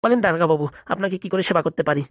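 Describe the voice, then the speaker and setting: Corrupt cartoon professor played by a woman, low-pitched, smug smooth talker, dry recording. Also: very fast, dry recording, lightly acted. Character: smug, corrupt professor surgeon, slippery and self-important. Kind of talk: fast cartoon comedy dialogue.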